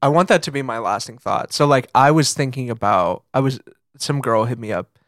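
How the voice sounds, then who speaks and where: A young American man with a medium-pitched voice speaks as a podcast co-host. His delivery is casual and confessional, moving haltingly as he thinks aloud. The recording is dry, without room sound. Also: fairly bright tone, no room sound.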